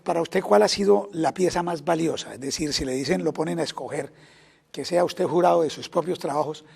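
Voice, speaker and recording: A man interviewing a guest, asking long, formal questions with a husky voice. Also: a little high, a little fast, darkish tone. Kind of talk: news interview.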